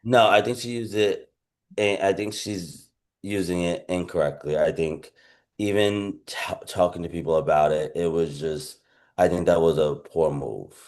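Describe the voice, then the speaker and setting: Low-voiced man chatting casually. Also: steady tone, normal speed. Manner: agreeable, soft backchannels, hesitant. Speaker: podcast co-host. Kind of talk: casual recap chat.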